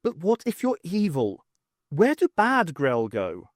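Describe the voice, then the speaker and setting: A man takes the part of a quick-witted woman archaeologist in an audiobook. The delivery is wry and bossy, swinging widely in pitch. The recording is dry and close. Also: wildly swooping pitch, no echo, heavily acted. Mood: probing.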